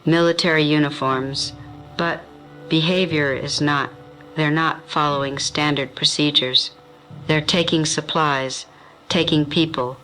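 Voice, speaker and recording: A man playing a steady, weary survivor on the radio in an audio drama, with measured, deliberate delivery. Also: fairly high pitch, soft background music, rough recording. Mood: cautionary.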